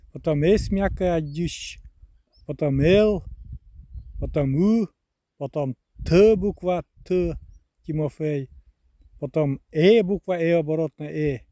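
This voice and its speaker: Slow-talking man streaming outdoors. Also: a little high, mellow, dry recording. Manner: slow, chatty, spells out words letter by letter. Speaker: live streamer from a rural village. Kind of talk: casual live stream, reading chat aloud. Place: outdoors in the countryside near a river, on the move.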